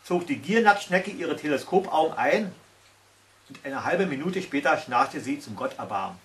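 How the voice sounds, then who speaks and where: Older man storyteller, higher-pitched voice. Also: lively intonation, moderate pace, fairly bright tone. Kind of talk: reading a fairy tale aloud. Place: small indoor room by a tiled stove.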